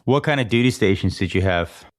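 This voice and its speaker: Male podcast interviewer, quite deep voice, asking and chatting in an easygoing conversational style. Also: expressive, a little fast. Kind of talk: casual interview chat.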